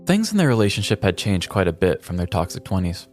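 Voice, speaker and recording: Low-voiced man narrating a comic story. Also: big pitch swings, quick pace, soft background music. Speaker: podcast narrator. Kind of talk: scripted comedic story narration.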